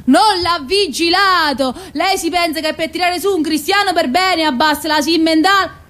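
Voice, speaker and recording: An actress playing an excitable, overwrought caricature in a theatrical radio drama, with broken grammar and exaggerated delivery. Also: female voice, extremely high pitch, moderate pace. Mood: scolding.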